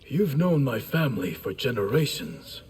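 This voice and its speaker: A man's voice: medium pitch, sing-song, moderate pace, lightly acted. A man playing a modest, respectful fighter in a fantasy video game. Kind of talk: video game dramatic acting. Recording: loud noise and clicks.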